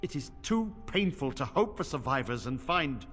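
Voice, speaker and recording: An actor playing a stern, weary middle-aged templar commander in a fantasy game, speaking gravely and formally. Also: male voice, mid-range voice, big pitch swings, moderate pace, fairly bright tone. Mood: grim. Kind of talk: fantasy video game dialogue. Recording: reverberant stone hall, music underneath.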